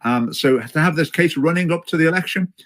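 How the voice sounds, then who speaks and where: A man speaking in a measured, hesitant way in a video commentary, with many fillers and restarts. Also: fairly high pitch, fairly bright tone. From Scotland. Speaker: commentator in a played clip.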